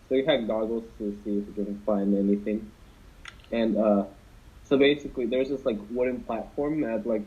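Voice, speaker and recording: A young man casually telling a story to friends on a podcast, rambling with many fillers and restarts. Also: fairly low pitch. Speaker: podcast co-host. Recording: dull lo-fi podcast audio.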